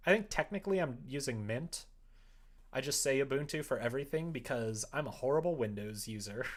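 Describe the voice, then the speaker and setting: Casual livestream host, mid-pitched and very animated, informal, conversational delivery. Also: brisk. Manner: informal, animated, quoting imagined exchanges. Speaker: streamer chatting while making a visual novel.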